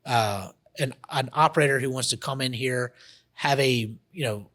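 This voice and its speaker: A man's voice: medium pitch, moderate pace, a bit bright. A man, a guest on a radio talk show, describing his business plans in a loose, rambling way with many restarts. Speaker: guest, automation entrepreneur. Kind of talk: casual radio interview.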